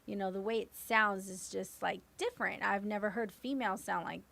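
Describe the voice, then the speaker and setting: A woman from the West Coast with a raspy voice, answering interview questions casually with lots of "you know.". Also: medium pitch, a little fast. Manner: relaxed, many fillers like "you know". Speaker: guest hip hop artist.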